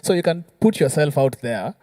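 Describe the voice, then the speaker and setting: A man's voice: fairly high pitch, animated, normal speed, warm tone, dry recording, studio quality. A Kenyan man giving explanatory advice in a panel discussion, working through examples and often checking that listeners follow. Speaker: musician panelist.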